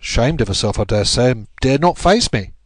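A man's voice: medium pitch, lively intonation, brisk, dry recording, natural voice. A man voicing a big, penitent police constable in an audiobook, nervous, chatty, deferential and apologetic. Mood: explaining, sheepish. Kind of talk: audiobook character voice.

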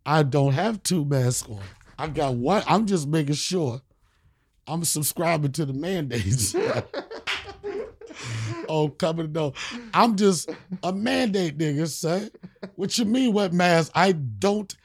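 A man hosting a comedy podcast, freestyling jokey raps and bantering loudly in street slang. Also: a little high, slow, breathy edge. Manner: loud, animated, swaggering street slang. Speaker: podcast host.

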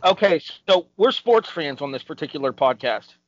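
Male podcast co-host, 30s, slightly high voice, emphatic and opinionated, dry muffled recording. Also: animated, normal speed. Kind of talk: casual panel debate about game shows.

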